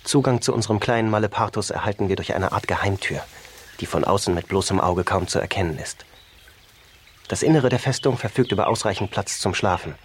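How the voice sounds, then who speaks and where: A low-voiced expedition reporter acted by a man reciting a letter in a radio play. He is formal, composed and dutiful, with faint birds and wildlife behind him. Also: brisk, slight background noise, lightly acted. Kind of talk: scripted letter narration in radio drama.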